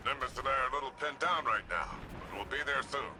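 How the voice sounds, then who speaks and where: An actor playing a stern, battle-weary veteran city defender in a video game, commanding and guarded. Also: male voice, medium pitch, fast, very noisy, lightly acted. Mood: brisk command. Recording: loud game music behind the voice.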